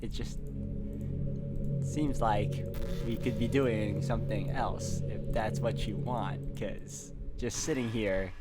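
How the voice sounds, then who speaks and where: A man hosting a visual novel playthrough, reacting casually in halting, hesitant asides. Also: lowish voice. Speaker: gaming video host. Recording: music playing behind the voice. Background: game music.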